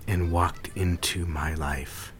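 An actor playing an attentive male suitor, speaking intimately and coaxingly with a husky voice in a dramatic dialogue. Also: sits low, fairly even intonation, slow pace, soft background music. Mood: tender, probing.

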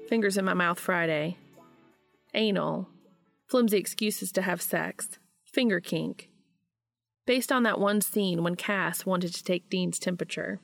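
A woman hosting a podcast and reading fan fiction aloud in an expressive audiobook style. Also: medium pitch, gravelly.

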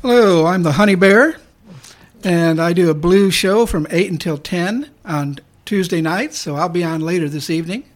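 A woman's voice: on the low side, normal speed. A woman who hosts a blues show, introducing herself casually on community radio.

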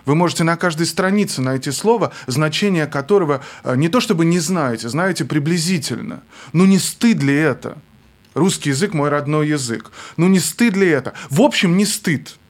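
A young man speaks as a guest on a radio talk show, reflective and measured. His voice is slightly high for a man, and he stops and restarts on words as he thinks. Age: young adult. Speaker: guest, film director. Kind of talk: thoughtful interview talk.

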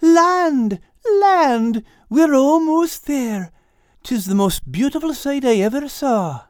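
Cowardly, melodramatic tomcat for children's audiobook; very high, whining, animated. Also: male voice, takes it slowly, no echo, studio quality, full character voice. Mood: excited. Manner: whining, dramatic. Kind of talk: audiobook character voice.

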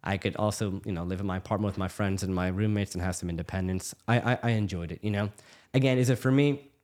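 A middle-aged man from the United States, a physician guest telling stories in a casual interview, quick and full of restarts. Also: on the low side. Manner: fast, animated storytelling with many restarts.

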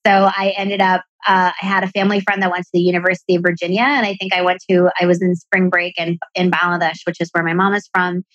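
A woman's voice: lowish voice, little pitch movement, fast talker, hardly any pauses, a bit bright, no echo, highs cut off. A woman with an American accent, raised in Pakistan, chatting as a podcast guest, upbeat and explaining at length. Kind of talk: podcast interview.

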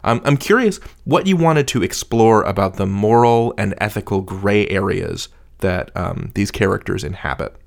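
Male podcast-style interviewer, lowish voice with noticeable fry, conversational and curious about his guests' work. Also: animated, moderate pace.

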